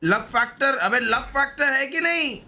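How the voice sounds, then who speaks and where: A man's voice: very high-pitched, lively intonation, normal speed, bright, forceful tone. A man giving casual, slangy live gaming commentary, often repeating short pleading phrases. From India. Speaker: gaming streamer.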